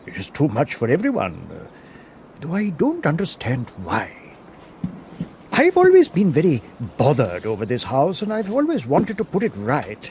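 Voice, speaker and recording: A gracious, troubled ruler speaks gently and hesitantly in a radio play. The pitch swings widely, and a faint hiss runs behind it. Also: male voice. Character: older male Indian ruler, troubled, gracious. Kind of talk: radio drama acting.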